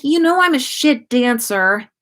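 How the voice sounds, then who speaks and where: A woman's voice: high voice, animated, a little slow, fairly bright tone, voice pushed up. Woman voicing a shy, smitten young wizard. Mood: reluctant.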